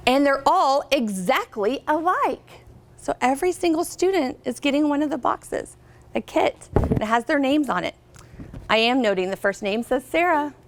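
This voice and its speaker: An American woman reading a picture book aloud to children as a guest reader, expressively, pausing to ask her listeners questions. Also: high voice, lively intonation, a little hiss or hum. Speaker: guest reader, company representative.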